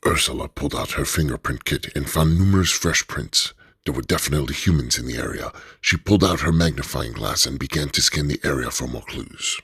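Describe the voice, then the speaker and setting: A man narrating an adventure radio drama in a measured storytelling manner. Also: very deep voice, fairly bright tone, breathy edge, no room sound.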